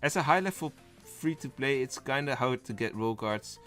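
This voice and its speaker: A man's voice: medium pitch, lively intonation, moderate pace, fairly bright tone, soft background music. Dutch man chatting on a live stream. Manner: rambling, thinks aloud, reads chat and lists. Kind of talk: casual live-stream chat.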